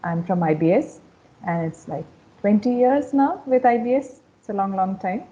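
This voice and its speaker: A woman's voice: medium pitch, normal speed, mellow. A woman from India introducing herself on a video-call panel in a friendly, plain way. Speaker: software company staff, panelist. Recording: dull lo-fi call audio.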